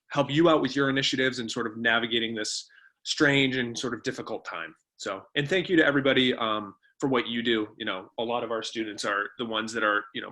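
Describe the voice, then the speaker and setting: A man's voice: medium pitch, sharp tone. A man giving an informal online presentation, explaining things in a conversational way as the webinar's presenter. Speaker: webinar presenter, company co-founder. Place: remote webinar.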